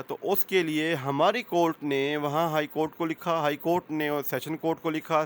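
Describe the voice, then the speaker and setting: A man with a Pakistani accent giving an explanatory lecture as a lawyer, mixing in English legal terms. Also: fairly high pitch. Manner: switches between Urdu and English legal terms.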